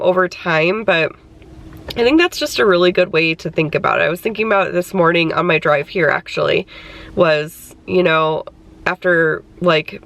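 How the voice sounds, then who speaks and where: A woman's voice: fairly low pitch, vocal fry, fairly bright tone. A young adult woman vlogging casually about her weight loss, her voice stuffed up from a cold, chatty and full of fillers. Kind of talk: casual chat.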